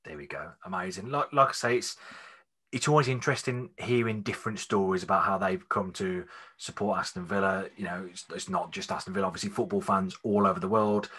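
A man hosting a fan podcast over a video call, relaxed, repeating words and using fillers. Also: deep voice, fairly quick, slightly rough. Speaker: podcast host. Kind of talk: casual podcast interview. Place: small room at home. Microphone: studio mic on video call.

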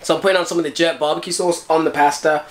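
A man's voice: on the high side, fairly even intonation, racing. A British man in his twenties chatting casually to camera as a fitness vlogger, explaining things as he goes. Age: 20s. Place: small home kitchen, indoors. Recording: roomy kitchen echo, clear background noise.

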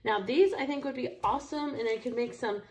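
An enthusiastic woman walks through a craft project at her table, her voice a touch higher than most and roughened with a gravelly fry. She chats casually and warmly about each step as she works. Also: a little fast, a little hiss or hum. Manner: chatty and enthusiastic, talks while working with her hands. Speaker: craft store instructor. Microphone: overhead camera, only her hands seen.

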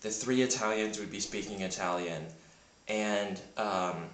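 A man chatting casually into a handheld camera in a rambling, self-deprecating way. His voice is deep and sounds a bit sick in the morning. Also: unhurried, fairly bright tone, echoey room, poor audio. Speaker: vlogger. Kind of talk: casual vlog monologue. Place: home in Berlin. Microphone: handheld camera mic.

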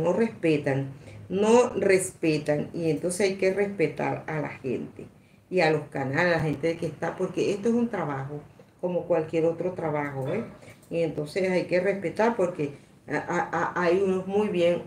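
A woman in her sixties hosting a casual live stream, reading out comments in a rambling, halting, repetitive way. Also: fairly low pitch, darkish tone. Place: small indoor room at a table. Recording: echoey room, some background noise.

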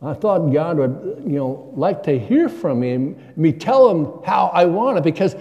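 A man's voice: fairly high pitch, animated, measured pace, mellow, dull audio. An older man preaching a sermon from a church pulpit, asking his congregation questions in a conversational way. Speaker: guest preacher. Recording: long church reverb.

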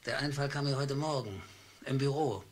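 A timid clerk, voiced by a man, who narrates in the first person and talks himself up into a nervous, boastful rush. His voice sits at an ordinary middle pitch. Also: normal speed, close to an everyday voice. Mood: excited. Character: meek, downtrodden male office clerk. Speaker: narrator and lead of a radio play.

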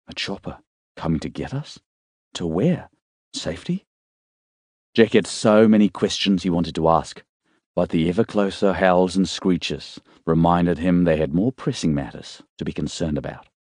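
A man's voice: sits low, a little mellow, no room sound, clean studio sound. A man reading scripted fiction as a dramatic audiobook narrator.

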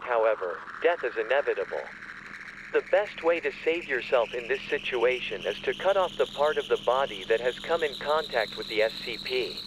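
A man reading a scripted emergency announcement in a formal, measured, official manner. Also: medium pitch, noisy background. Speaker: narrator of a fictional emergency alert.

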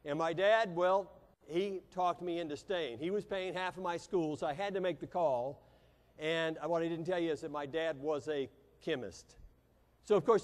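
An American man in his fifties giving a keynote speech as a motivational business speaker, telling a personal story in a theatrical way with dramatic pauses. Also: on the high side. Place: large convention hall stage. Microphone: lapel mic. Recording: echoey hall with long reverb.

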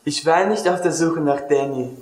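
A man's voice: fairly high pitch, moderate pace, a little mellow, close to an everyday voice. A young man playing a tender, heartbroken young woman, a nurse, in an amateur film parody, earnest and lovesick. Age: young adult. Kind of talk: melodramatic parody acting. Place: tiled public restroom, indoors. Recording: echoey tiled room.

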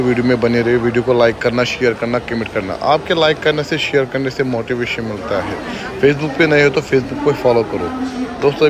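A man narrating a casual travel vlog from a handheld phone camera, chatty and repetitive, calling out to his viewers as he walks through a station and rides a train. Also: mid-range voice. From India. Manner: chatty, repetitive pointing-out, addresses friends directly. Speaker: travel vlogger. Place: busy city train station and moving local train. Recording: loud station and train noise. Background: loud station and train noise.

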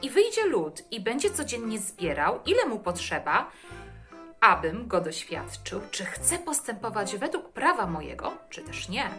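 A woman host reading Bible verses aloud to children in a deliberate storytelling manner. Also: mid-range voice, very animated, fairly bright tone, faint music behind.

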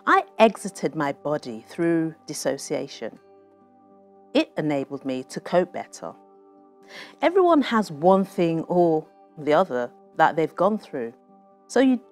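Woman author reading her memoir out loud, slow and expressive, lowish voice, bit of music behind. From Nigeria. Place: small TV studio with bookshelves.

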